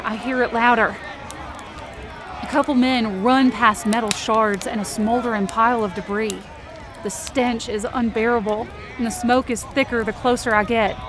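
A woman's voice: on the high side, fairly even intonation, normal speed, background noise, lightly acted. A woman acting as a stubborn, desperate young rescuer, narrating a supernatural audio drama in an intense, emotive way. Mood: tense. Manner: intense, emotive, swearing under strain. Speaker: narrator and lead actor of a fiction podcast. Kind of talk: dramatic first-person present-tense audio drama narration.

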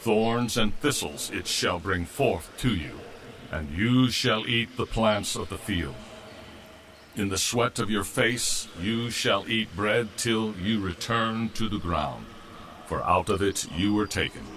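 A man playing a stern, commanding God in a Bible audio drama, speaking with measured, solemn authority. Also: on the low side, a bit bright, a little hiss or hum. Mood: stern, judging.

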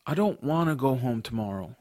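A man playing a curious, adventurous young boy who narrates his own story in an expressive, earnest audiobook style. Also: mid-range voice, a little fast, dry recording, clean studio sound, natural voice. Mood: earnest, wistful. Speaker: narrator and lead character.

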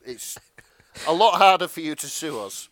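A man's voice: on the high side, lively intonation, normal speed, a bit bright. A man co-hosting a comedy podcast, chatting casually with a dry, joking manner. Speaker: podcast co-host. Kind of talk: casual comic banter.

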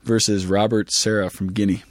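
A man's voice: fairly low pitch, little pitch movement, moderate pace, no echo, studio quality. A man in his thirties co-hosting a podcast in casual chat, joking and laughing, stumbling over foreign names. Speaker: podcast co-host.

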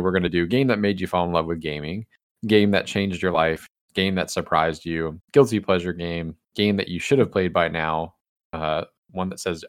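A man hosting a casual gaming podcast, relaxed and rambling, with some teasing jokes. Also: low voice, no echo, studio quality. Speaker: podcast host. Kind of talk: casual chat.

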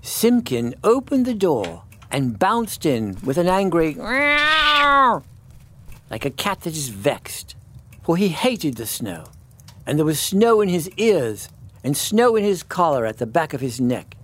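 A male storybook narrator reads a children's tale expressively. His pitch rises and falls in a very animated way as he tells the scripted story. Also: a little slow.

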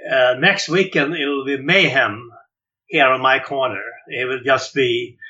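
A man's voice: mid-range voice, steady tone, unhurried, sharp tone, highs cut off. A man in his seventies from Sweden, a jazz clarinetist telling his story in an interview, hesitant with many fillers and repeated words.

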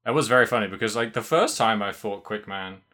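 A man co-hosting a casual podcast, with a lowish voice and a bright, forceful edge to his tone. He rambles and restarts his sentences as he talks through a video game. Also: quick pace. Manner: rambling, many restarts and fillers, laughs often. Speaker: podcast co-host.

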